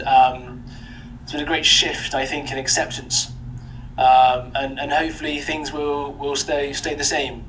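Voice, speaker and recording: British man, even monotone voice, reflective interview answer, faint room hiss. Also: medium pitch, low-quality recording. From Britain. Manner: thoughtful, measured, frequent ums. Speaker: street interviewee.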